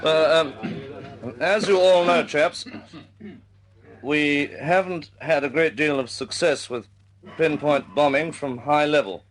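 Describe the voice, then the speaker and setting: Halting, highish-voiced senior officer. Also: male voice, poor audio. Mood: halting, measured. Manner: halting, courteous, understated. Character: hesitant senior air force officer, polite, measured. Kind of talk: wartime radio drama acting.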